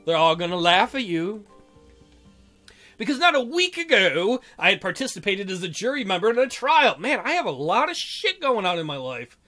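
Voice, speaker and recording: A man's voice: high-pitched, big pitch swings, normal speed, bright, forceful tone. A man reading a visual novel aloud as a let's-play, joking and theatrical with playful commentary. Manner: joking, theatrical, often giggling. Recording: music under the voice.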